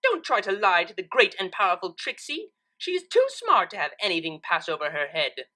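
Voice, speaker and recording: A boastful female unicorn magician from a cartoon fan story, acted out by a woman reader. The delivery is pompous and theatrical, with a bright, forceful edge and big swoops in pitch. She refers to herself grandly in the third person. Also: wildly swooping pitch, a little fast. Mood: haughty, offended.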